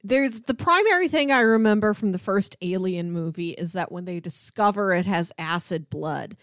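A woman's voice: mid-range voice, moderate pace, no room sound, studio quality. A woman co-hosting a movie podcast, chatting casually and acting out imagined lines as she goes. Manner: animated, acts out imagined dialogue. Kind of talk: casual film discussion.

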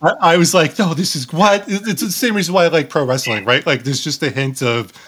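A man interviewing casually as a podcast host, in a halting way, often rephrasing as he goes. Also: on the high side, fairly bright tone.

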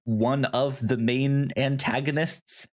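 A man co-hosting a podcast in a casual movie discussion, speaking haltingly and stammering over repeated false starts. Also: medium pitch, fairly even intonation. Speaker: podcast co-host.

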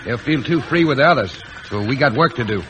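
An actor playing a determined, authoritative homicide sergeant in an old-time radio crime drama. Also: male voice, medium pitch, animated, close to an everyday voice. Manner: brisk, authoritative. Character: determined, businesslike city homicide police sergeant. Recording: noisy old radio recording.

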